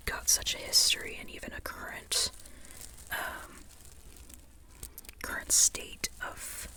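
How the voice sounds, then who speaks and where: Slow, breathy ASMR whisperer. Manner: rambling, tentative, repeats phrases softly. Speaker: ASMR creator reviewing a book. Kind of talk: whispered ASMR, informal book ramble.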